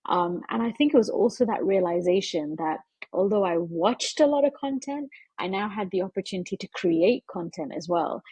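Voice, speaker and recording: A woman gives interview answers in a husky, slightly breathy voice. She talks in an earnest, measured way and often pauses on fillers. The sound is close and dry, with no room echo. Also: medium pitch, animated, mellow. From London. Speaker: interview guest, media executive.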